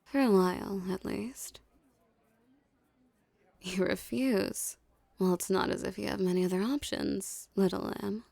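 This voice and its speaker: A woman playing a dominant, seductive mafia boss in an ASMR roleplay, with a soft, teasing, condescending drawl and menacing self-assurance. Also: mid-range voice, fairly even intonation, a little slow, vocal fry, pauses often, dry recording.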